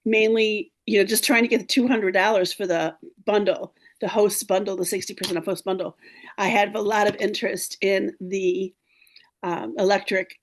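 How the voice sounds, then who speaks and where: Brief: woman, slightly froggy team leader on a video call, upbeat, cheering her team on. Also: medium pitch. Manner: upbeat, encouraging, clears throat often. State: a little hoarse. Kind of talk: casual team meeting talk.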